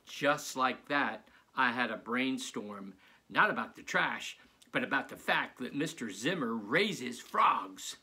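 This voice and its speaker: Male author-narrator for children's books: mid-pitched, very expressive, slightly rough voice. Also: slow. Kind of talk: animated storybook reading aloud.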